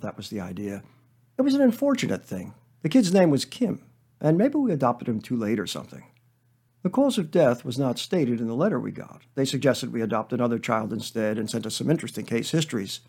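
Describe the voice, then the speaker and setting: A man reading a comic story monologue as a weary, defensive schoolteacher who rambles in a deadpan, hesitant way. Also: medium pitch, husky. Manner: deadpan, hesitant, self-justifying digressions.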